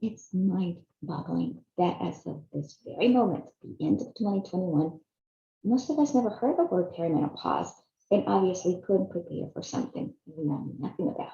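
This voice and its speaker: Lady in her 50s, gravelly mellow voice, echoey kinda dull room sound. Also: on the low side, expressive, moderate pace. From Chile. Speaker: video series host. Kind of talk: scripted personal narration.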